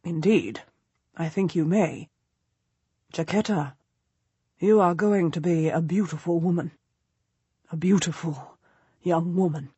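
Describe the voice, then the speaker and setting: A woman doing a low, leering nobleman. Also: slow pace, long pauses, mellow, husky, no echo, full character voice. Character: powerful middle-aged nobleman, leering and insistent.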